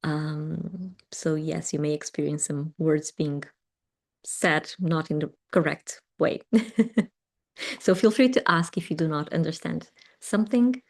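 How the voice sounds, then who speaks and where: A woman with a fairly low voice speaks slowly and softly. She is not a native English speaker. She guides a live meditation class, answers chat comments with gentle affirmations and laughs lightly as she goes. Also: creaky. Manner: gentle and affirming, laughs often, with pauses and ums. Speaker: meditation teacher.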